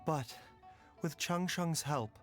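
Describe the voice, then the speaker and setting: A gentle male doctor from a fantasy game. His somewhat high voice is measured, formal and faintly weary, with music underneath. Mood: weary, reassuring. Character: gentle, composed, scholarly male physician, weary and polite. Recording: game audio over music.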